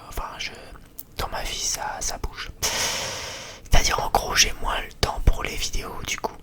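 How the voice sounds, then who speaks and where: A man gives a whispered ASMR chat in a halting, rambling and hesitant way. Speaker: ASMR video creator.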